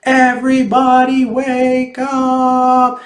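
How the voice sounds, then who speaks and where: A man's voice: very high-pitched, completely flat delivery, voice pushed up, exaggerated voice. A man reading a storybook, voicing a cute, bossy little girl who frets and hollers dramatically. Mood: bellowing, drawn-out. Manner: dramatic, drawn-out hollering and fretting. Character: cute little girl, fretful, bossy, loud waker of sleepers. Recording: roomy, echoey sound.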